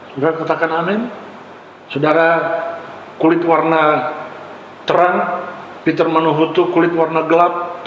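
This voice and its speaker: A male pastor from Ambon, Indonesia, preaching a sermon in short, emphatic, exhorting phrases with repetition. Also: fairly high pitch. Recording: echoey hall with long reverb, faint noise.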